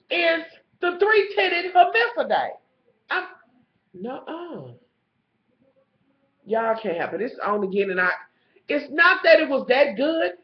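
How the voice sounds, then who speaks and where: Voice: mid-range voice, roomy sound. A TV show reviewer with a stuffed-up nose, recapping an episode in gossipy, profane storytelling and calling the viewer honey. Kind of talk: casual episode recap vlog. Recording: slightly muffled lo-fi recording.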